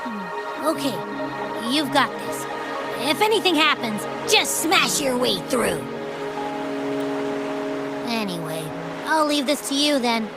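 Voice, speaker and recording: A woman's voice: high voice, very animated, takes it slowly, pauses often, loud music behind the voice, exaggerated voice. An actress voicing a cheeky, easygoing young female sidekick in a fantasy video game, with a playful, casual manner. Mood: woozy, encouraging. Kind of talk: animated video game voice acting.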